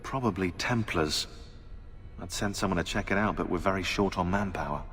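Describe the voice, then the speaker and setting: A bookish researcher in a video game, eagerly curious and conversational as he explains. His voice sits a little low, in a long reverb with faint noise behind it. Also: normal speed, fairly bright tone. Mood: eager speculation. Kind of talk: video game cutscene dialogue.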